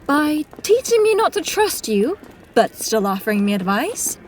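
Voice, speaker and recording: An actress playing a wry, wary young adventurer in an audio fantasy drama, with a hesitant, questioning manner. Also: female voice, high-pitched, big pitch swings, normal speed, slight background noise. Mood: confused.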